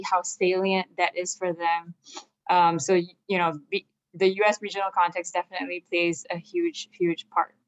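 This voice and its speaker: Woman, 30s, from Malaysia; earnest, conversational speaker; lowish voice, lo-fi recording. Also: fairly bright tone. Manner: earnest, with frequent "um" and "right?" check-ins. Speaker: assistant dean speaking at a community event. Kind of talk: conversational talk. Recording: dull lo-fi sound.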